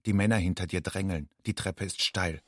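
An actor playing a haunted, watchful refugee man in a radio drama monologue, husky, speaking in measured, stark fragments. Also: sits low, no room sound. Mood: grave, intense.

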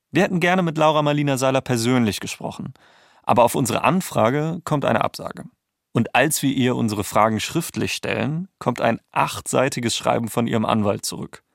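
Podcast-Erzähler mit knarriger Stimme. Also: male voice, mid-range voice, moderate pace, creaky, dry recording, clean studio sound. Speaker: podcast host and narrator. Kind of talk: scripted documentary podcast narration.

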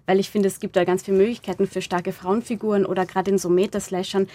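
Woman, mellow voice, reflective interview talk, halting and self-correcting, close dry sound. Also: mid-range voice, flat delivery, no room sound. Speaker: interviewed playwright discussing own audio drama.

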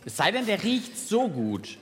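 A man's voice: sits high, wildly swooping pitch, unhurried, faint music behind. A man co-hosting a live children's science show, chatting casually and thinking aloud in a playfully puzzled way. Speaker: co-host and test subject on a children's science show. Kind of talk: casual, spontaneous chat on stage. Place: live on stage at a podcast festival.

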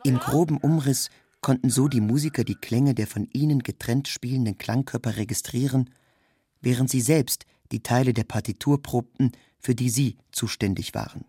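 A man's voice: medium pitch, moderate pace. A man reading a scripted literary narration in a sober, factual manner.

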